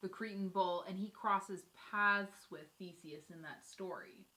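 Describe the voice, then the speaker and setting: Bright-voiced woman hosting a casual chat. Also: medium pitch, fairly even intonation, measured pace, rough recording. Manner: informal, addresses viewers directly. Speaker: host.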